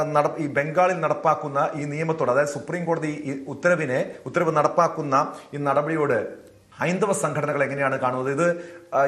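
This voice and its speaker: A man's voice: fairly high pitch, fairly bright tone. A Malayali man hosting a news debate, putting formal questions to a guest in a roomy, echoing space.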